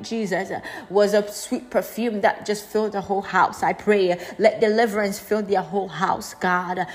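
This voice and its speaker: Woman preacher in fervent, pleading prayer. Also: medium pitch. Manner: fervent, pleading, repeats phrases insistently; breaks into speaking in tongues. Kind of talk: spontaneous charismatic deliverance prayer.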